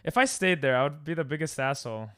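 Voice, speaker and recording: A man's voice: a little high, animated, fast, fairly bright tone, clean tone, no room sound, clean studio sound. A man hosting a livestream, chatting casually with a group of friends.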